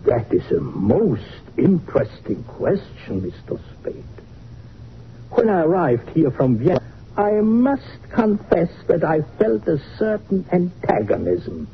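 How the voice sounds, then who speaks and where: An actor playing an older, learned Viennese psychoanalyst in a 1940s radio drama, speaking in a deliberate, formal, self-important way. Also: male voice, on the high side, sing-song, heavily acted. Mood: musing, self-important. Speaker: psychoanalyst character. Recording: muffled, noisy old radio recording.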